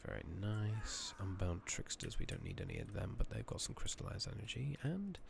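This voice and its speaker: A man doing soft-spoken, partly whispered ASMR game commentary, rambling along in a relaxed way as he thinks aloud. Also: on the low side, brisk, creaky, background noise. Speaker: game streamer, ASMR host. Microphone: makeshift new close microphone.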